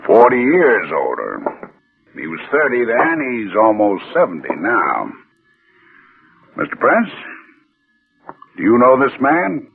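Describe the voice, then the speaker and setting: An actor in a 1960s radio suspense play, playing a skeptical, methodical police lieutenant questioning a suspect. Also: male voice, mid-range voice, wildly swooping pitch, slow pace, creaky, long pauses, breathy edge, full character voice. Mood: probing, patient. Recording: muffled old radio drama, faint music.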